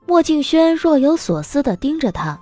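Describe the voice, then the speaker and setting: A woman's voice: sits high, big pitch swings, moderate pace, dark tone, soft background music. A woman narrating a scripted audiobook story as the narrator of a drama.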